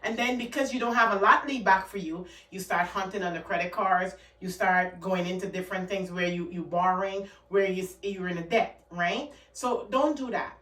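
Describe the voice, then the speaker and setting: A woman's voice: mid-range voice, moderate pace, few pauses, a bit bright, far from the mic. A woman from Guyana with a Guyanese accent, a channel host giving earnest, emphatic money advice to camera. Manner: earnest, repeats points, emphatic. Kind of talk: casual talk-to-camera advice. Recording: echoey room, off the mic.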